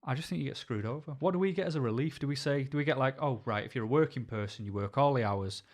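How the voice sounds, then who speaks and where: A man's voice: mid-range voice, fast. A man from Manchester, co-hosting a casual podcast chat and ranting with put-on voices for other people. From England, Manchester. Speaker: podcast co-host.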